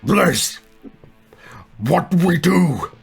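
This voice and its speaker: A man voicing an urgent, foul-mouthed fighting woman, pitched up. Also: animated, extremely slow, gravelly, husky, soft background music, full character voice.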